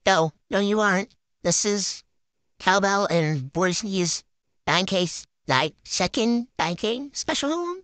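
A woman's voice: mid-range voice, expressive, takes it slowly, fairly bright tone, no room sound. Woman doing a bratty ditzy noob gymnast. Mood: bossy. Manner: valley-girl drawl, silly and boastful. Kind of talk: improvised game roleplay voiceover.

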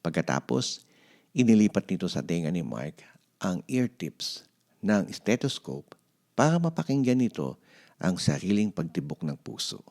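A Filipino man tells a children's story in a very deep voice. His delivery swings widely in pitch, with a gravelly fry underneath. He reads expressively, as a storyteller would for young listeners. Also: sing-song, moderate pace, a little mellow.

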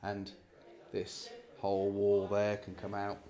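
A man's voice: lowish voice, monotone, takes it slowly, dark tone. A British man in his forties explaining things casually to camera as a property vlog host, recorded on a handheld phone indoors in an empty house. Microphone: handheld phone at arm's length.

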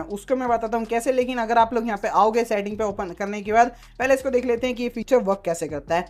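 A man giving a casual phone tutorial as a tech YouTuber, mixing in English terms and leaning on repeated filler phrases. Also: high voice.

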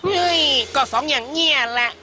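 A man's voice: very high voice, moderate pace, bright, forceful tone, a little hiss or hum, full character voice. A man voicing an exasperated, scolding father with a Chinese accent in his Thai, in a children's cartoon. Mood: exasperated complaint. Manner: fretful, scolding, bewildered. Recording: muffled audio with music behind.

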